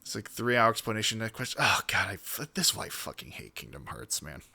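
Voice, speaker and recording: A man's voice: lowish voice, very animated, fast talker, sharp tone, breathy edge. A man streaming casual, rambling, profane reaction commentary.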